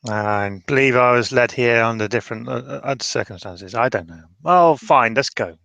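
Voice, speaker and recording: A man's voice: mid-range voice, normal speed, creaky, fairly bright tone, no room sound, dull audio. A man playing a guarded, reluctant character haunted by his past in a roleplaying game, hesitant and evasive.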